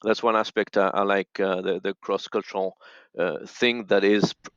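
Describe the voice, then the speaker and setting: A man who is a non-native English speaker, answering conversationally as a guest in halting, restarted phrases. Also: on the low side, fairly even intonation, fairly bright tone, clean tone, no room sound. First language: not English, non-native speaker. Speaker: audio and radio expert, podcast guest. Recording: dull, lo-fi call audio.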